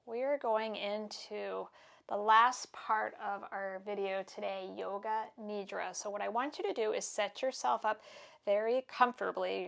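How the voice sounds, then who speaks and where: A woman yoga instructor giving calm, soothing, unhurried cues for stretching, breathing and meditation. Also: mid-range voice, vocal fry.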